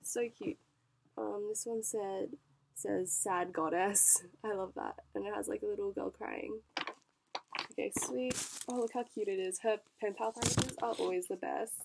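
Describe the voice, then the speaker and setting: Congested young woman chatting, gravelly voice. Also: mid-range voice, noisy background. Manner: chatty, bubbly, lots of fillers and likes. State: congested from a cold. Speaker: vlogger. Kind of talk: casual unboxing chat.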